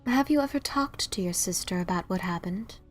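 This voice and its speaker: A woman playing a calm, wise and mysterious female pony, speaking formally and with gentle, measured probing in an audio drama. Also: medium pitch, soft background music, close to an everyday voice. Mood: gently probing. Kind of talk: audio drama dialogue of fan fiction.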